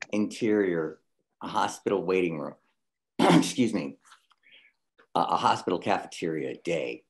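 A man with a low voice narrates a script at a table reading. He reads out scene headings and stage directions between the actors' parts. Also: lively intonation, slow, creaky, long pauses.

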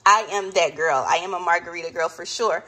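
A woman's voice: lowish voice, brisk, sharp tone, poor audio. A woman in her thirties from the American South with a Southern accent, chatting casually to camera while showing her groceries. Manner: chatty, says "y'all" often. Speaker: vlogger. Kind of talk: casual grocery haul chat.

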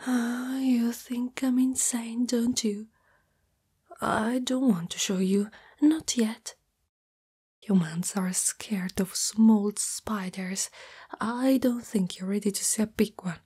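A woman plays a young spider-girl in love, shy and afraid of being rejected. Her voice is mostly whispered and very breathy, with a dark, mellow tone. She speaks slowly and hesitantly, as in an intimate ASMR girlfriend roleplay. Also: fairly high pitch, fairly even intonation, full character voice. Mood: nervous, reluctant. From Italy.

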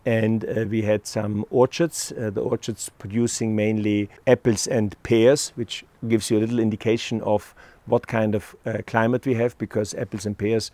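A middle-aged man with an Austrian accent, a wine estate owner presenting a tasting outdoors, speaking in a measured way with many pauses. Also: lowish voice, level tone, dark tone, no room sound. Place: outdoors on a hilltop above a lake.

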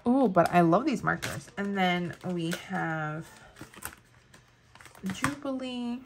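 Lowish-voiced woman in her 30s, Spanish accent, gushing casual planner review, faint noise. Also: lively intonation, slow. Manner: chatty, gushing, many fillers. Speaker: planner vlogger. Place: indoors at a table, overhead camera on hands.

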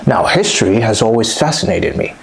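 Man, thirties, from Congo, French native speaker, lower voice, reflective vlog, lapel mic, small room. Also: big pitch swings, a little hiss or hum, rough recording. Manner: measured, earnest, reflective delivery. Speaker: vlogger. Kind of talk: scripted personal vlog monologue. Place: small dim room at home, indoors. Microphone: clip-on lapel mic.

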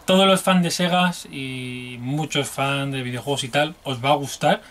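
A man's voice: medium pitch, moderate pace, fairly bright tone. A man in his thirties from Spain with a Spanish accent, chatting informally as he hosts a casual unboxing video at home. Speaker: video host showing collectibles. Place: small room at home, indoors.

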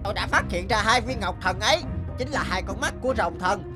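A woman's voice: mid-range voice, animated, brisk, over music, natural voice. A woman voicing a scheming crow henchman in a cartoon, sly and eager. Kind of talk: cartoon voice acting.

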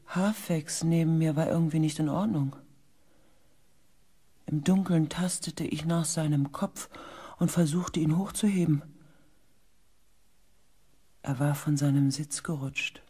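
An actor playing a shaken space expedition member in a radio drama, with a husky, breathy voice and a hushed, halting manner. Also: male voice, fairly high pitch, fairly even intonation. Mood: tense, hushed. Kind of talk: radio drama narration and acting.

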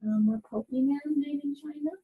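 A woman's voice: high voice, moderate pace, narrow, tinny audio. A woman on a video call passing on students' questions to a presenter.

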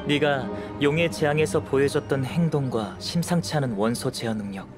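An actor voicing a calm, scholarly young male alchemist in a game, soft-spoken and analytical, with music playing behind. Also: mid-range voice, close to an everyday voice. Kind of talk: game voice acting.

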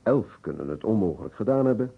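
Deep-voiced elderly professor, old radio. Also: male voice, big pitch swings, vocal fry, slightly rough, exaggerated voice. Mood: assured, talkative. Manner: deliberate, reminiscing, searching for names. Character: elderly male professor of surgery, courteous, talkative. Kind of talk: Dutch radio play acting. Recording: muffled old radio recording, narrow band.

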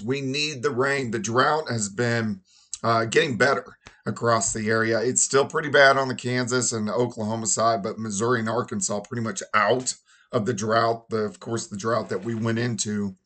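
A hoarse man in his forties, a meteorologist giving a relaxed, conversational weather forecast from a home office. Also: mid-range voice.

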